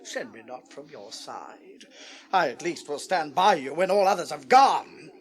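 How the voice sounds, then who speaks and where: An actor with a British accent playing a servile, wheedling, scheming royal counsellor in a fantasy radio play. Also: male voice, on the high side, animated, measured pace. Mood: wheedling, pleading. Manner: servile, insinuating, pleading.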